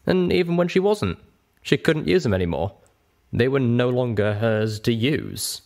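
An ancient male dragon played by a man, lonely and weary from keeping guard. He talks gravely and bluntly, with lively swings of pitch, as in an audiobook. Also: moderate pace. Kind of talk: audiobook character voice.

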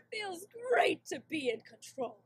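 A woman's voice: sits high, full character voice. A woman voicing a domineering villainous cartoon unicorn queen, taunting and imperious.

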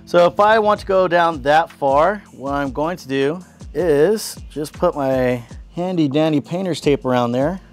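A man's voice: a little high, a little slow, soft background music. A man in his thirties giving a casual, chatty DIY woodworking tutorial on an outdoor covered porch workshop, talking to viewers as guys. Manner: upbeat, chatty, says guys and man a lot. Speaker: fitness coach building gym gear.

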